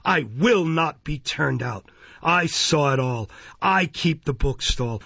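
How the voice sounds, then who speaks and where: A man's voice: medium pitch, moderate pace, gravelly, husky, no room sound, muffled recording. A man voicing a poor, decent elderly bookstall keeper in an audiobook, speaking with earnest, determined insistence. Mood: defiant. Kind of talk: dramatised audiobook reading of a classic novel.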